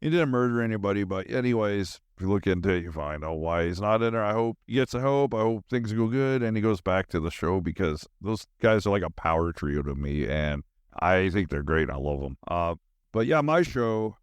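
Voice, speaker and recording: A man's voice: low-pitched, expressive, fairly quick, clear, resonant tone, no echo, studio quality. A middle-aged man hosting a solo podcast, tired and zoned out, rambling casually in slangy, meandering talk with trailing thoughts.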